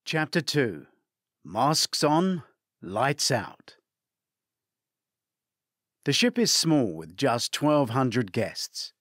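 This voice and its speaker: A man reading a crime thriller aloud as scripted narration, measured and theatrical, lifting his voice for quoted commands. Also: medium pitch, big pitch swings, fairly bright tone, dry recording, clean studio sound. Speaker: audiobook narrator.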